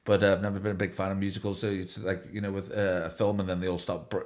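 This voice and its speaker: Tiefe Männerstimme, lockerer Podcast-Plausch. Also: male voice, deep voice, flat delivery, fast. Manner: rambling, profane, many fillers. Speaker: podcast host. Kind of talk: casual chat.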